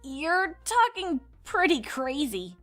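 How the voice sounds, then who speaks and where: A woman plays a young female cartoon pony, brash and casual. She talks in breezy slang, very high and lively in pitch, over faint music. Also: slow pace, full character voice. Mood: uneasy. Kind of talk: cartoon fan-fiction voice acting.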